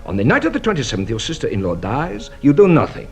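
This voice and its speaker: A courteous, shrewd French police inspector in a radio drama. His mid-pitched voice swoops theatrically as he probes, with faint music behind him. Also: wildly swooping pitch, fast, creaky, darkish tone. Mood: probing. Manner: courteous, theatrical, probing questioner.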